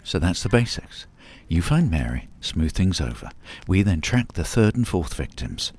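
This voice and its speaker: A man acting as a confident, secretive ex-marine in an audio drama, measured and assertive. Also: low-pitched, very animated, darkish tone, breathy edge, heavily acted. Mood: firm, persuasive. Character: secretive, confident ex-marine man plotting a time-travel plan.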